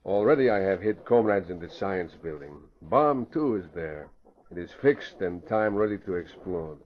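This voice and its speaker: An actor playing a menacing, falsely meek, gloating foreign saboteur with foreign-accented broken English in an old radio drama. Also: male voice, sits low, lively intonation, moderate pace. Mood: grimly confident. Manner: broken English, coaxing, matter-of-fact about violence. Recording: muffled, noisy vintage radio recording.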